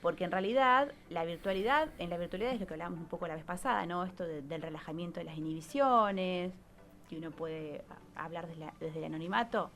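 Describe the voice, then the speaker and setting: A woman's voice: fairly low pitch, quick pace, fairly bright tone. A woman with an Argentine Spanish accent gives an explanatory radio talk as a psychologist, in a didactic way, often checking in with short questioning tags. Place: radio studio. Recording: clearly noisy radio recording.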